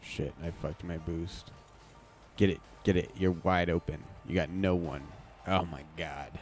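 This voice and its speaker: Low-voiced male streamer, loose and cursing through live play, soft music underneath. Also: fairly even intonation, a little slow. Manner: casual, swearing, reacting to play. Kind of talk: live gameplay commentary.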